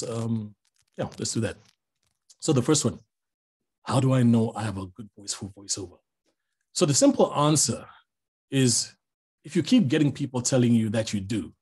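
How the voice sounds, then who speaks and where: Middle-aged male voice-over pro, mid-pitched, a little husky, relaxed and chatty, online class over a video call. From Zambia. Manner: relaxed, chatty, jokes aside to the audience. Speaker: voice-over artist teaching a master class. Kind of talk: online master class talk.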